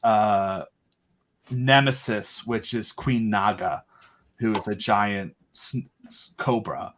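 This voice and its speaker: A man as an interview guest, eagerly explaining his own creative project in casual, rambling chat. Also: fairly low pitch. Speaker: guest, creator of an audio drama.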